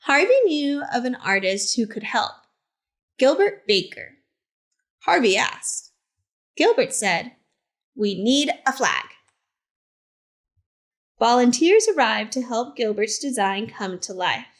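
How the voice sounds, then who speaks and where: A woman reading a picture book aloud to children as a teacher librarian, in simple, deliberate phrases with short asides. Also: on the high side, lively intonation. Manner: simple, deliberate phrasing addressed to young listeners.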